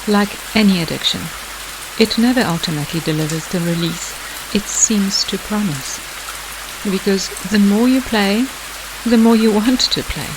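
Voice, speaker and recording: Hypnosis guide over hissy, rainy noise. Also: mid-range voice. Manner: measured, deliberate phrasing with pauses. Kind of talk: scripted guided hypnosis narration. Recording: hissy, clearly noisy background.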